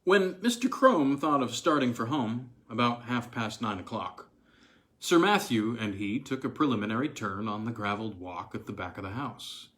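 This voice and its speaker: A man narrating a classic ghost story as a literary audiobook, reading expressively in a measured way with deliberate pauses. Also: medium pitch, expressive, fairly bright tone.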